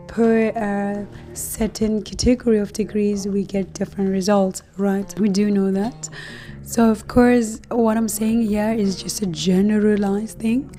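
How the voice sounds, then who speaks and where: A woman hosting an astrology talk to her viewers, hoarse and losing her voice, speaking casually with many fillers and soft laughs. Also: mid-range voice. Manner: slow, relaxed, many fillers and light laughs. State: losing her voice, deeper than usual. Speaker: astrology channel host.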